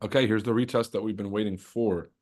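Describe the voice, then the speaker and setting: A man's voice: lowish voice, fairly even intonation, fast, darkish tone. A young man hosting a trading livestream, chatting loosely with his chat, joking and swearing freely.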